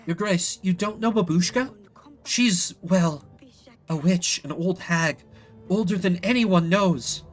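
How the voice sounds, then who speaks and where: A man acts out a frightened game villager with an urgent, halting delivery. The storytelling is dramatic and full of dread, with music playing behind it. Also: high-pitched. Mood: fearful. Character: frightened villager, urgently warning of an escaped witch. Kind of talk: acted narrative game dialogue.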